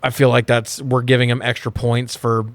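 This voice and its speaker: Male co-host, medium pitch, informal and wordy, thinks out loud in casual discussion, very little room sound. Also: level tone, moderate pace, no room sound. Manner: rambling, informal, swears casually. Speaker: podcast co-host reviewing movies.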